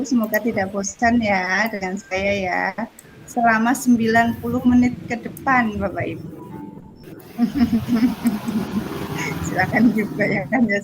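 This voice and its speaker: Chatty woman on a noisy call. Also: mid-range voice. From Indonesia. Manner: jokey, giggly, self-deprecating, frequent tag words. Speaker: facilitator of an online teacher training forum. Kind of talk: casual, chatty virtual class facilitation. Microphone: video call.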